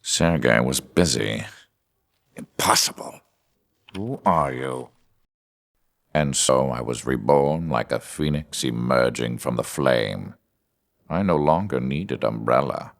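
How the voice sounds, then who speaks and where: A superhuman video game villain with an extremely deep, gravel-laden voice. He is cold and arrogant, a schemer who measures out every phrase with theatrical menace. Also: expressive, slow, long pauses, heavily acted. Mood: cold, triumphant. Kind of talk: scripted video game villain narration and dialogue.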